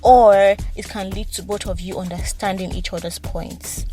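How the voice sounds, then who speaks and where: A woman hosting a solo advice podcast, talking quickly with a slight stutter and frequent repeated words and restarts. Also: medium pitch, steady tone, over music. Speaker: podcast host.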